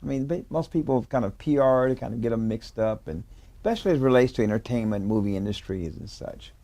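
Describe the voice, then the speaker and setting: A man's voice: mid-range voice, lively intonation, fast talker, warm tone, dry recording. A man hosting an interview show, eager, interjecting and stumbling over his words. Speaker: talk show host. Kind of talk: interview host.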